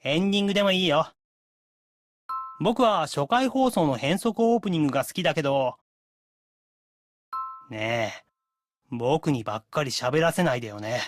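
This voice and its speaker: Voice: medium pitch, animated, fast talker, long pauses, natural voice. A performer voicing a cocky, demanding young anime guy in Japanese style, haughty, teasing and a little sulky.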